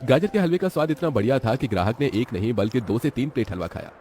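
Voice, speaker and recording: Brisk, dramatic male story narrator. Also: mid-range voice, very animated, a little mellow, slight background noise. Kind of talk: scripted children's story narration.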